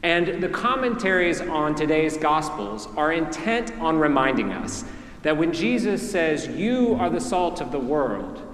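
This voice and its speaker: A man from Mississippi with a Southern accent, preaching a sermon as a priest in a measured way with deliberate pauses. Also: fairly high pitch, big pitch swings, a bit bright. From Mississippi, USA. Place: large church. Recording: echoey church hall.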